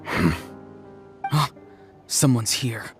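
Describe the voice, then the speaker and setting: A man playing a polite, calm, secretive youth in a video game, speaking in an understated, apologetic manner. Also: mid-range voice, very slow. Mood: alert. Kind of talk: English video game voice acting. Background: faint background music.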